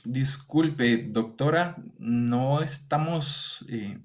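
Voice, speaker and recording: Man, academic moderator, formal and steady reading; lo-fi video call sound. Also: medium pitch, very slow. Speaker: event moderator. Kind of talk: formal reading of a speaker introduction. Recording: dull lo-fi video call audio.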